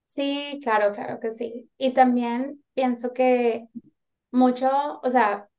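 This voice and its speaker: This is a Colombian woman in her thirties with a fairly high voice, chatting as an interview guest. She thinks aloud as she goes, earnest and a little rambling as she feels her way through her points. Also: fairly even intonation, slow, a little mellow, soft background music. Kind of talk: casual interview chat.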